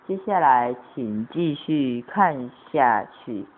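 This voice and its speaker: Slow-paced woman storyteller, tinny recording. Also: rumbling low, animated. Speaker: narrator of an audio drama. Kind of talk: storybook narration for amateur radio play. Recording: tinny, band-limited amateur recording with noise.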